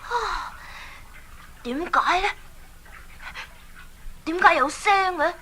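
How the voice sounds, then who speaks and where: A woman's voice: very high-pitched, expressive, drawn-out words, bright, forceful tone, a little hiss or hum, full character voice. A woman playing an earnest, plucky boy adventurer in a Cantonese children's radio drama, with a Hong Kong accent and a wide-eyed, exclamatory delivery. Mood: startled, puzzled.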